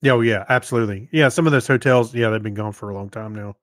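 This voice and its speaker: A man co-hosting a podcast, chatting casually in a rambling, halting way and often correcting himself. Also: on the low side, a bit bright, no echo, clean studio sound. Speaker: podcast co-host. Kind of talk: casual chat.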